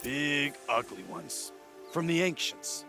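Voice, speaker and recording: Rough blunt male soldier, high-pitched, unhurried, video game banter. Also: expressive. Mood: deadpan teasing. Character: blunt, wisecracking rough soldier in a warrior band. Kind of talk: cinematic video game voice acting. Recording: music and crowd noise behind.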